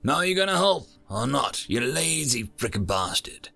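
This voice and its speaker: A man's voice: medium pitch, sing-song, normal speed, gravelly, sharp tone, soft background music, exaggerated voice. A man voicing a brash, foul-mouthed male human soldier who barks orders in slangy talk. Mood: gruff bossy. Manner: slangy, swearing, bossy.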